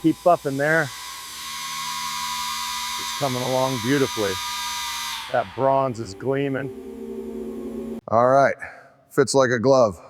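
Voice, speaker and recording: A man's voice: mid-range voice, slow pace, long pauses, heavy background noise. A man giving short scripted workshop remarks as a mechanic. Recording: loud music over the voice.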